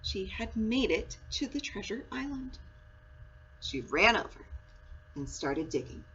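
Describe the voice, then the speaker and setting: A woman reading a children's storybook aloud in an expressive, dramatic and deliberate way. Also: medium pitch. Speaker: story reader, school read-aloud. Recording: dull lo-fi sound with faint noise.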